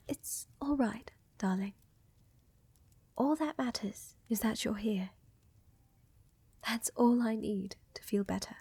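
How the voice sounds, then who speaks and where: Casting: woman to play a doting, flirtatious vampire countess for ASMR audio drama; mellow, whispery, gently affectionate. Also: fairly high pitch, no room sound, heavily acted. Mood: fond, reassuring. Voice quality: soft, breathy, often whispering. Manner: gentle, reassuring, unhurried, affectionate. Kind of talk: soft romantic ASMR roleplay. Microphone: close microphone, dry.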